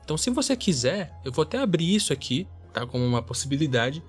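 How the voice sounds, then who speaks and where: A man's voice: fairly high pitch, sing-song, fast, husky. A man with a Brazilian accent hosting a podcast, chatting casually to listeners with music behind him. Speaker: podcast host.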